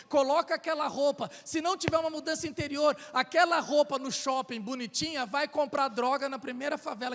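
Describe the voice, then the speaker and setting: High-voiced Brazilian pastor in a reverberant hall. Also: male voice, fairly bright tone. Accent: Brazilian Portuguese. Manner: conversational, building to emphatic repetition. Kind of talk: sermon. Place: church sanctuary.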